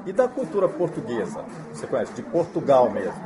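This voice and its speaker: A man's voice: mid-range voice, huge pitch swings, brisk, a loud crowd. Friendly male talk show host. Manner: friendly, encouraging questions. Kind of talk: casual interview hosting.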